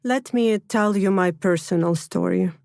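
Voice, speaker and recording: A Ukrainian woman, a human rights lawyer, speaking English with a Ukrainian accent in a measured, deliberate interview answer. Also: medium pitch, creaky, a little mellow, no echo, clean studio sound. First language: Russian. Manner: measured, deliberate, restarts words while building points.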